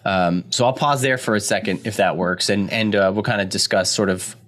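Late thirties guy recapping a movie plot, lowish voice, lots of "you know" and "like". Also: a little fast, fairly bright tone. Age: 30s. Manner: laid-back, filler-heavy storytelling. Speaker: podcast co-host. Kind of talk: casual podcast discussion, recapping a film.

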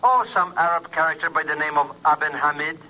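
A man's voice: on the high side, a little fast. An actor playing a chatty, slangy male informant heard through a telephone filter in 1950s radio drama style. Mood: casual. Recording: noisy, humming vintage radio phone line.

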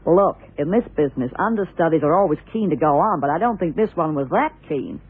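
An actress playing a blunt, hard-bitten theatre dresser in a 1970s radio crime drama, talking candidly and colloquially. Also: female voice, deep voice, expressive, brisk, slightly rough, full character voice. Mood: guarded, frank. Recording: tinny, band-limited old radio recording.

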